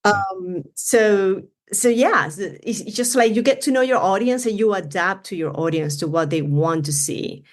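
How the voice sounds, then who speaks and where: A woman's voice: mid-range voice, moderate pace, hardly any pauses. Venezuelan lady chatting away in english, spanish accent, lots of you know and like. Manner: chatty, rambling with many fillers and restarts. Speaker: running influencer guest. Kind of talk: casual podcast interview.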